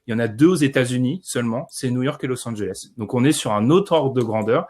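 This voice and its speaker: A man's voice: mid-range voice, fast talker, darkish tone. Podcast guy laying out his take, sure of himself, bit wry. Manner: explanatory, confident, wry. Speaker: podcast co-host. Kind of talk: casual podcast discussion.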